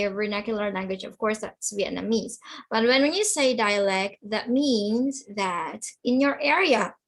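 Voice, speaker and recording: A woman's voice: medium pitch, slightly rough. A woman teaching an English class online, explaining in simple phrases, repeating herself and checking that students follow. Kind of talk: online lesson, explaining words and questioning students.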